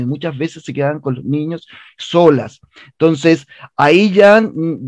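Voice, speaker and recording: A Chilean man with a somewhat high voice explains his theatre work as an interview guest. He is earnest and narrative, repeating phrases to stress them. The sound is very dry and slightly dull, with a lo-fi edge. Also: a little mellow, dry recording.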